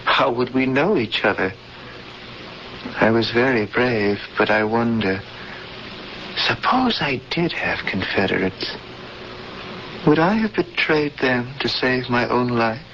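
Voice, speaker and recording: A man's voice: medium pitch, lively intonation, slow pace, pauses often, fairly bright tone, a little hiss or hum. An actor playing a stoic male prisoner and lone rebel, resigned and earnest, in a 1970s radio drama. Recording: dull lo-fi old radio broadcast.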